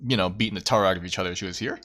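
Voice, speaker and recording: A man's voice: low-pitched, very fast, fairly bright tone, a little hiss or hum. A man streaming a game, reading aloud and giving casual commentary.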